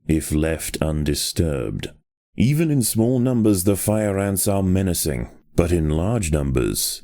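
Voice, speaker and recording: An actor playing a measured, authoritative nature documentary narrator for a video game. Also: male voice, sits low, warm tone, no room sound, studio quality.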